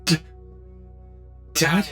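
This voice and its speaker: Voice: drawn-out words, pushed and bright, faint music behind. An actor voicing a newly created, childlike being in an audio drama, timid, frightened and trusting, with a hesitant stammer. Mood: curious, shy.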